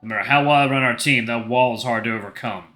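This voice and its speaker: Man playing an earnest, flustered teenage boy, very bright. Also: medium pitch, quick pace, roomy sound, soft background music, close to an everyday voice. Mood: resigned, earnest.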